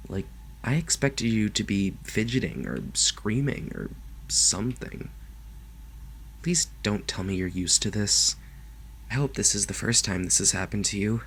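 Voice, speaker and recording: A man playing a lovestruck, possessive kidnapper in an ASMR roleplay, speaking softly and haltingly to the listener, sometimes close to a whisper. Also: on the low side, vocal fry, a little hiss or hum, exaggerated voice. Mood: playful, possessive. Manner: soft, gentle, halting, sometimes dropping to a whisper. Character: lovestruck male yandere kidnapper, doting, possessive, awkwardly reassuring.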